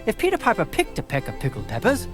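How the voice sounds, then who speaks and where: Wildly animated comic storyteller, performed by a woman. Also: medium pitch, fast, over music. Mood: mock-solemn. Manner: dramatic, crisp alliterative patter. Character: mock-solemn storyteller of comic fairytales. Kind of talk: theatrical comic storybook narration.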